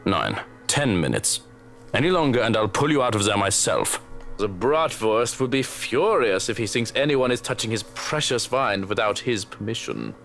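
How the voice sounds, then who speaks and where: A man's voice: fairly low pitch, very animated, moderate pace, a bit bright, soft background music. A man voice-acting a stern, fussy male servant with a formal, scolding manner in a visual novel. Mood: begrudging warning.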